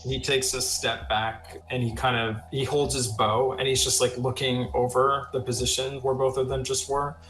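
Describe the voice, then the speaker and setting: A man playing a tabletop role-playing game, casually describing his character's actions in a halting, thinking-aloud way. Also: mid-range voice, flat delivery, fairly bright tone. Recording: roomy echo, faint music behind.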